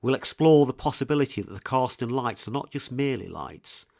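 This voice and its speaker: A man presenting a scripted mystery documentary in a measured, ominous, suspenseful way, with deliberate pauses. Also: medium pitch, expressive, vocal fry, a little mellow, no echo, studio quality. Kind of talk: scripted dramatic documentary narration. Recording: music behind the voice. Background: music.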